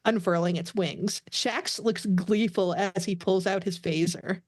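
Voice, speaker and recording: A woman's voice: lowish voice, fairly even intonation, measured pace, darkish tone, no room sound, studio quality. A woman reading stage directions aloud as the narrator of a scripted table read.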